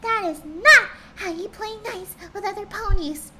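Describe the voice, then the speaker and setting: A woman voicing a gentle female pony who scolds like a stern mother. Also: very high-pitched, a little slow, heavy background noise, voice pushed up. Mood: scolding.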